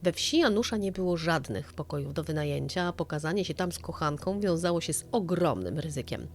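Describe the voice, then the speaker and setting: A woman's voice: low-pitched, expressive, fairly quick, a little hiss or hum. A woman narrating a scripted true-crime story in an audio drama style.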